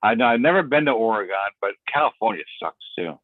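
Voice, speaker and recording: A man's voice: medium pitch, animated, fast talker, dry recording. Blunt, teasing American man on a phone line. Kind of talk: casual chat. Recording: dry tinny phone-like line.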